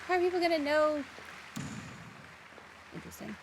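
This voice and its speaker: Girl doing casual playthrough commentary, animated voice, game noise behind her. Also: high voice, moderate pace. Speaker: gameplay commentator. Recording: clear background game noise.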